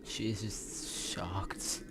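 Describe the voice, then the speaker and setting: A game streamer giving casual reaction commentary in short, offhand remarks. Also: drawn-out words. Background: loud game music.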